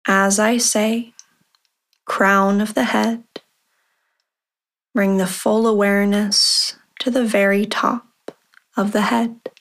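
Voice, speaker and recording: A woman leading a guided meditation as host, giving calm, measured instructions with long pauses. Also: mid-range voice. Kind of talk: guided meditation, body scan.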